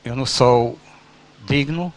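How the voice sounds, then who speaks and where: A man from Romania, preaching in an earnest, rhythmic manner at a church induction service. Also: medium pitch. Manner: slow, earnest, rhythmic listing. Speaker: pastor.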